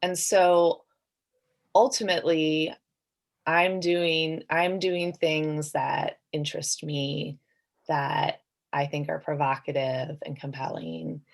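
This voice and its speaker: A woman's voice: low-pitched, steady tone, slow pace, gravelly. A woman author talking casually and reflectively on a panel, hedging and saying "like" often as she thinks aloud.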